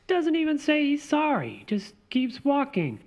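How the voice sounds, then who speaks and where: A woman playing an earnest, timid partner in a radio play, defensive and expressive. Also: high-pitched, unhurried, exaggerated voice.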